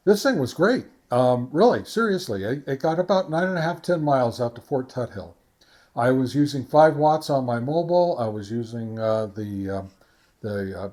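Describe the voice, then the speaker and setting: A man giving a casual, informal product review and field test, talking in a halting, conversational way. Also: medium pitch, darkish tone. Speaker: ham radio reviewer and vlog host.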